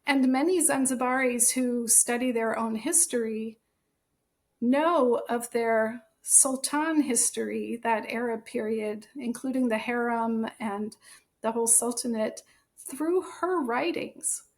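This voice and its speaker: An American woman, a guest on an interview podcast, answering in a thoughtful, measured way. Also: a little high. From born in Germany, raised in the United States. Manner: thoughtful, measured, with occasional soft laughs. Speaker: guest, author and translator.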